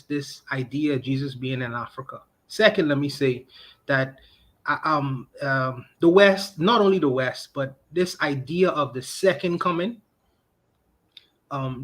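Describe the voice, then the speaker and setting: A man's voice: mid-range voice, a bit bright. A man co-hosting a livestream podcast, giving his opinion emphatically and repeating his points with frequent restarts.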